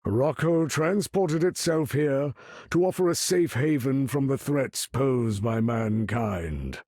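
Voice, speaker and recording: A male actor playing a proud aging mutant leader in a video game, with a gravelly, husky voice and a grand, formal, commanding manner. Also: mid-range voice, lively intonation, slow pace, darkish tone, no echo, studio quality. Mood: resolute. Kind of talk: video game character voice acting.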